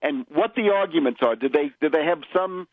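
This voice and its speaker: A man hosting a political radio interview, speaking in a measured, explanatory way with deliberate phrasing. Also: a little high, animated, dry recording. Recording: dull lo-fi radio audio.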